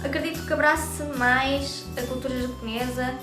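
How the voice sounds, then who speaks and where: A woman's voice: high-pitched, fairly even intonation, normal speed, echoey room, music in the background. A young woman with a European Portuguese accent, talking casually to camera as a vlogger. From Brazil. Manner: chatty and talkative. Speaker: YouTube vlogger.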